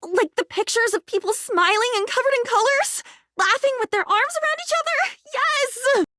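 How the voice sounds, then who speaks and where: A living diary from a video game, a female character hungry for friendship. Her voice is high and very animated, recorded dry and close. She is naive and excitable, gushing and wandering from one thought to the next. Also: no echo, heavily acted. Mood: overjoyed. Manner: rambling, gushing, expressive, drifting off on tangents.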